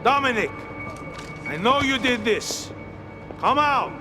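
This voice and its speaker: An actor playing a proud, vengeful Cuban mafioso in a video game, taunting in Spanish-accented English. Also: male voice, extremely high pitch, lively intonation, takes it slowly, over music, heavily acted. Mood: taunting menace. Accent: Spanish-speaking Cuban. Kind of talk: video game cutscene acting.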